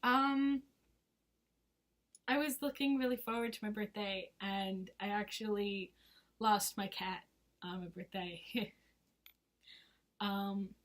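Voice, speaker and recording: A woman in her twenties, hoarse from losing her voice, chatting casually in a rambling, giggly vlog. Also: medium pitch. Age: 20s. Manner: rambling and giggly, full of fillers. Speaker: vlogger. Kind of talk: casual chat to camera.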